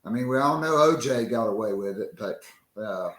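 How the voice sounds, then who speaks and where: A man hosting a sports podcast, talking casually and jokingly with a worn-out, hoarse voice. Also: lowish voice, animated, reverberant, over a noisy crowd, low-quality recording. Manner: loose and joking, slow drawn-out delivery. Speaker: podcast host.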